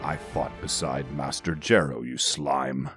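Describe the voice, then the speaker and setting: A man's voice: rumbling low, steady tone, unhurried, fairly bright tone, soft background music, heavily acted. An actor playing a bitter, vengeful male soldier in an audio drama, full of menace.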